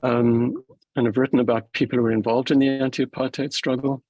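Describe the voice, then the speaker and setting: A middle-aged man from South Africa, moderating a panel and closing it with his own halting remarks full of ums and restarts. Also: mid-range voice, flat delivery, mellow, muffled recording. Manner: hesitant, many fillers and restarts.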